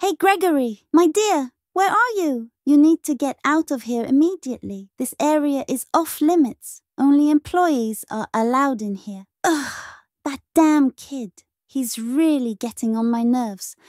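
A woman's voice: sits high, lively intonation, a little slow, hardly any pauses, a little mellow, no echo, clean studio sound. A woman voicing a falsely sweet, short-tempered chicken animatronic in a cartoon comic dub. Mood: coaxing, irritated. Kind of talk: comic dub character acting.

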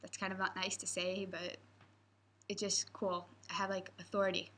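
Teenage girl, pretty bright voice, camp youth leader, kinda playful and honest. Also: medium pitch, flat delivery, normal speed, a little hiss or hum. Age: teens. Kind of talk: interview answers. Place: indoor room with posters.

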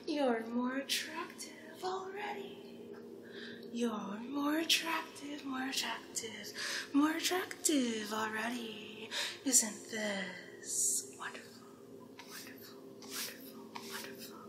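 Woman playing a seductive demon hostess, ASMR roleplay, breathy half-whispers, high pitch, teasing and gracious, roomy echo. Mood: doting, hypnotic. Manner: slow, breathy half-whispers with lingering repeated words. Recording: roomy echo, clear background noise.

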